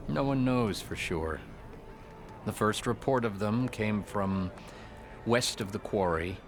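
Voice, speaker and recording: An actor playing an exasperated official in a video game, speaking in a formal, articulate and wry way. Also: male voice, on the low side, takes it slowly, background noise. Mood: earnest, frustrated. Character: exasperated male official opposing a troublesome rival. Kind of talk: scripted video game dialogue.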